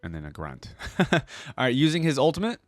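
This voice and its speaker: A man's voice: medium pitch, huge pitch swings, a little fast, dry recording, faint music behind. A young man giving casual, slangy reaction commentary, musing aloud as he goes. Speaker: reaction video host.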